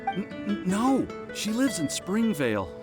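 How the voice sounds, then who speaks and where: A bashful young lover in an animated game. The voice is medium in pitch but moves expressively, hesitant and earnest, with music behind. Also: measured pace. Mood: wistful, hesitant. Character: shy, earnest, lovestruck young adult from a strict family.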